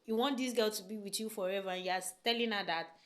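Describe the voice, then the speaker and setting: A woman's voice: mid-range voice, fairly even intonation, fast. Chatty woman vlogger, emphatic. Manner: chatty, emphatic, many rhetorical questions and "right?" tags. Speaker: vlogger giving relationship advice. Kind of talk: casual talk to camera.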